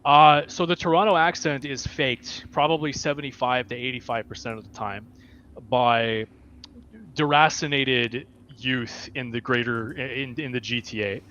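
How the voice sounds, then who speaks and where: A man's voice: medium pitch, fairly even intonation, measured pace, sharp tone. Joking man on a dull lo-fi mic. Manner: mock-scholarly, joking. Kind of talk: casual livestream chat. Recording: dull lo-fi mic with faint hiss.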